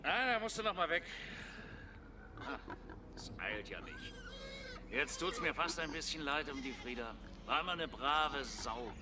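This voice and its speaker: A mild, wistful country farmer in a radio play. His pitch is slightly high for a man. Clear animal and yard noise surrounds him. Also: very animated, a little slow, long pauses. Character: easygoing, wistful provincial pig farmer. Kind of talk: German radio drama acting.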